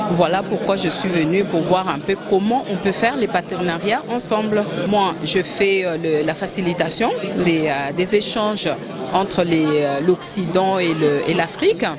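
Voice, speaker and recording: A woman from DR Congo, a trade facilitator, answering an interview in a hesitant way, with many fillers and restarts. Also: lowish voice. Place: busy conference hall. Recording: crowd chatter behind.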